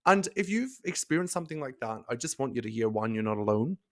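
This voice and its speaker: Expressive, animated male nurse coach. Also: medium pitch, fast talker. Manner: expressive, animated, swearing, lots of "like" fillers. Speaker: nurse coach and podcast host. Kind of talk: casual motivational talk.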